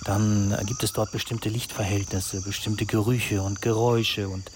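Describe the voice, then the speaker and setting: A man from northern Germany talks calmly and reflectively in an interview clip. His voice sits fairly low. A faint outdoor ambience runs beneath him. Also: measured pace, a little hiss or hum. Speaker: crime novelist.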